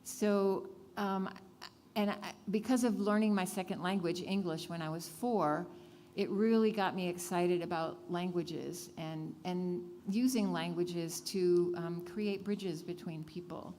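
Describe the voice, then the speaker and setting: A woman born in Switzerland whose first language is German, a singer-songwriter who leads a sing-along and then talks softly with fillers in a live radio session. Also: fairly low pitch, a little slow, long reverb tail, a little hiss or hum. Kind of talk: live song with spoken interview. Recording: live radio studio with guitar.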